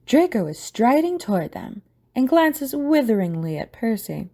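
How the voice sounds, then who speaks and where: Mellow, very expressive woman narrator. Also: on the high side, moderate pace. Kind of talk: scripted audio drama narration.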